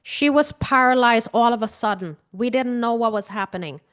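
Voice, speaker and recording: A woman's voice: fairly high pitch, moderate pace, smooth. A woman with a Guyanese accent, an emotional healing coach, speaking earnestly and casually straight to her viewers, repeating points and asking them questions. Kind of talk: casual direct-to-camera talk.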